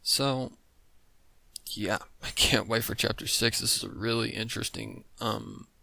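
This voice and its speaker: A man's voice: lowish voice, unhurried, gravelly, pushed and bright, no room sound. A man giving casual, rambling reaction commentary as the host of a video. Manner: informal, rambling.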